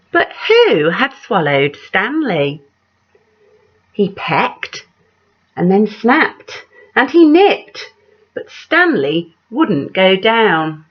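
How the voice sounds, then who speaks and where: Slow, expressive woman reading a storybook. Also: on the low side. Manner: dramatic, measured delivery with questions to the listeners. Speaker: teacher reading a picture book aloud. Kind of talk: expressive children's story reading with asides to young listeners. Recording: dull, lo-fi sound.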